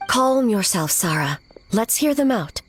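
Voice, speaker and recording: A woman playing a composed, perceptive woman of high rank in an animated game, calm, measured, solemn and formal. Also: breathy edge. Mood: calm command. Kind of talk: animated game cutscene acting.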